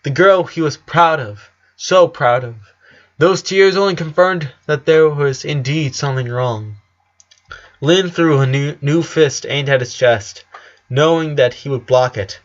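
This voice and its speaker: A man's voice: fairly high pitch, measured pace, lo-fi sound. A man narrating a story in an earnest, dramatic audiobook reading. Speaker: narrator of a fan fiction story.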